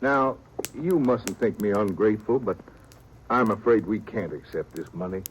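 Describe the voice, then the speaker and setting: An actor playing a proper, apologetic small-town reverend in a 1950s radio western, hesitant and stammering. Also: male voice, lowish voice, expressive, normal speed, lightly acted. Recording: slightly noisy old radio recording.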